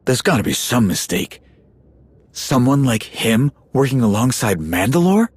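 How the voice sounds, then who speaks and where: An actor playing a tough, hot-headed warrior in a video game, speaking in a blunt, threatening way. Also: male voice, mid-range voice, big pitch swings, measured pace, slightly rough, no echo. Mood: wary.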